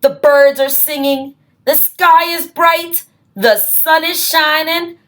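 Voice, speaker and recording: A woman reads a children's storybook aloud in a high voice, very slowly. She is expressive and pauses the narration to speak simply to her young listeners. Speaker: read-aloud host.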